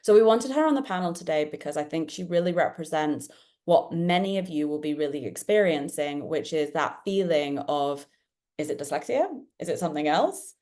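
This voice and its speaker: A woman hosting an online panel discussion, talking in an energetic, chatty and jokey way. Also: low voice, normal speed. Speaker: panel host.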